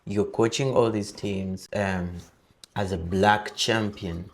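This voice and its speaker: Male podcast host, lowish pitch. Casual, conversational questioning with frequent affirmations. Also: steady tone, takes it slowly. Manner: frequent affirming interjections, echoes the guest.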